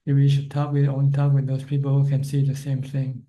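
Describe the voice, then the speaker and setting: A man from Thailand, a Buddhist monk, giving calm, plain spiritual advice over a video call. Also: medium pitch, brisk. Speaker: Buddhist monk answering questions.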